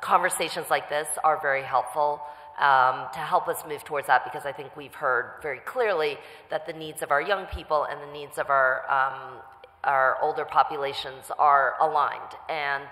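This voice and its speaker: A councilwoman speaking at a public hearing, measured, with frequent fillers. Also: low voice, steady tone, pushed and bright. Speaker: city councilwoman. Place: city council hearing room. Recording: echoey council chamber with long reverb.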